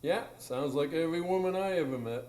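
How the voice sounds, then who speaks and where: A man's voice: on the high side, fairly quick, a little mellow, lightly acted. Man playing a wry, skeptical steamboat captain. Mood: wry, bemused. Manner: hesitant, wry, drawling interjections. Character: skeptical, laid-back male steamboat captain. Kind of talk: live radio drama acting. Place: small improv theatre stage. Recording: roomy live stage recording.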